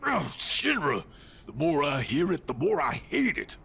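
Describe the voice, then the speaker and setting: An actor playing a gruff, hot-tempered resistance fighter in a video game, blunt and brash. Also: male voice, a little high, huge pitch swings, a little slow, bright, forceful tone, full character voice. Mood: angry.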